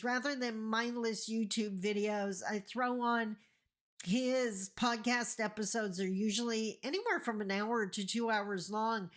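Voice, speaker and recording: Slow-talking woman, a little stuffy from a cold. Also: a little high. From Northern Ontario, Canada. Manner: warm, enthusiastic, addresses viewer directly. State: getting over a cold. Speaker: vlogger, host of her channel. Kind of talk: casual direct-to-camera talk.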